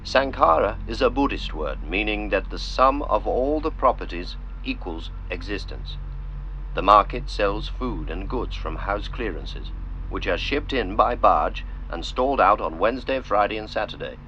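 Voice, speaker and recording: A man narrating a scripted art film in the manner of an old-style documentary, with measured, theatrical, deliberate phrasing. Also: fairly low pitch, animated, pushed and bright. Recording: noisy, dull lo-fi narration track.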